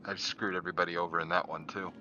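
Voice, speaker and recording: Very bright, deep-voiced man chatting. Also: level tone, very fast, dull audio, soft background music. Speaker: player. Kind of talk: casual chat. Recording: faint background noise.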